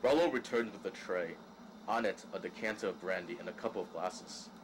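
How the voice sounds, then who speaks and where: A man serves as narrator, reading scripted scene directions in an audio drama. His voice is fairly low. Noticeable noise runs behind it. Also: a little fast. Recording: clearly noisy background.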